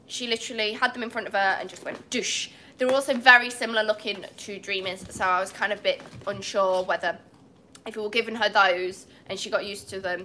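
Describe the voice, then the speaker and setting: A woman's voice: medium pitch, pushed and bright, a little hiss or hum. A young English woman with a cold, chatting on a livestream from a cat cafe, apologetic and laughing often. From England. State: has a cold. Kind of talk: casual livestream chat. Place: indoor cat cafe with large windows. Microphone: phone on a tripod.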